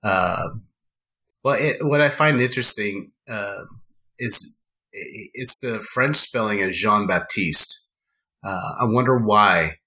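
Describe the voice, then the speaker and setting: A man guest on a podcast, talking in a halting way with many fillers and restarts. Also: fairly low pitch, sharp tone. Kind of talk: casual chat.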